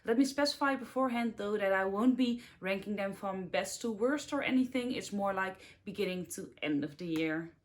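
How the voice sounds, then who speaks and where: A woman's voice: mid-range voice, moderate pace, hardly any pauses. Chatty Dutch woman in her twenties. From Netherlands. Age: 20s. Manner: chatty, rambling, upbeat. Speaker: book vlogger. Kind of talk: casual book review vlog.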